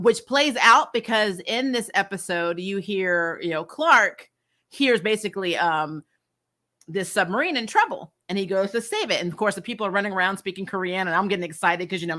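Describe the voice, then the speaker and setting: A woman casually recapping TV episodes as a vlog host, animated, often acting out lines and dropping in "you know". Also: mid-range voice. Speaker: host of a comic TV review vlog.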